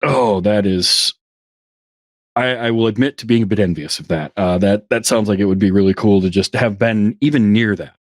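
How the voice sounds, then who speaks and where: A low-pitched middle-aged man chatting as a podcast guest in a dry, close recording. He wanders through his memories, restarting and circling back as he talks. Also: moderate pace, dry recording. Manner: reflective, rambling with restarts. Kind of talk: casual conversation.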